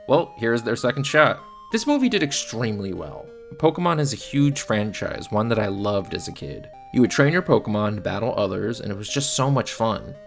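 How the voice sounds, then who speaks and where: A man with a fairly low, gravelly voice delivers scripted film commentary. He is blunt and sardonic, like a reviewer laying out complaints, with faint music underneath. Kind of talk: scripted video essay commentary.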